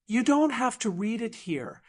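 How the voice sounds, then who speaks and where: A woman voicing a quiet, tender middle-aged man in an audiobook romance, gentle and soft-spoken. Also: on the high side, sing-song, moderate pace, voice pushed up.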